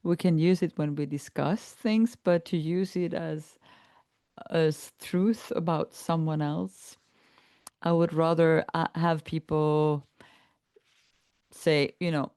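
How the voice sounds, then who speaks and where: A woman hosting a reflective conversation, explaining ideas calmly and laughing easily. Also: low voice, slow, smooth, no room sound, studio quality. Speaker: podcast host and trainer.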